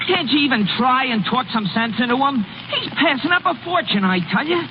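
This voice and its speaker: An excitable young kid brother in a vintage radio comedy. The voice is extremely high, folksy and needling, on an old recording with clear noise. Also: male voice, a little fast, muffled recording. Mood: exasperated. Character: eager, exasperated kid brother, small-town young fellow. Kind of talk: 1930s-40s radio comedy acting.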